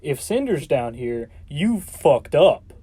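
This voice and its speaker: Mellow, sardonic, skeptical man. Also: on the high side, very animated, takes it slowly, husky, no echo, exaggerated voice. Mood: sarcastic, exasperated. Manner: sardonic, exasperated. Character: sarcastic, skeptical reluctant chosen vessel, a man. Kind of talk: audio drama acting.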